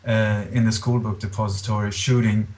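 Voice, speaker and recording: Middle-aged male interview guest, Scandinavian accent, lowish flat-toned voice, halting and earnest. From born in Denmark, grew up in Sweden.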